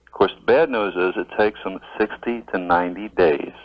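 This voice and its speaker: Male phone-in caller, lowish voice, easygoing and wry, dry lo-fi radio tape. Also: brisk, no room sound. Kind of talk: casual phone-in conversation.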